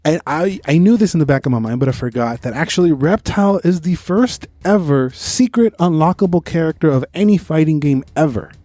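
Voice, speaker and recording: Guy w a slightly high, mellow voice doing a loose movie review podcast, opinionated, swears, faint music behind. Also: lively intonation, fairly quick, few pauses. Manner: informal, opinionated, swears freely. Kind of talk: casual movie review chat.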